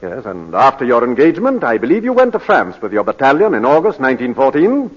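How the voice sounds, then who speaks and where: An actor playing a formal, courteous British barrister examining his client, with measured oratory in 1940s radio drama style. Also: medium pitch, huge pitch swings. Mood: measured, probing. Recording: muffled vintage 1940s radio broadcast.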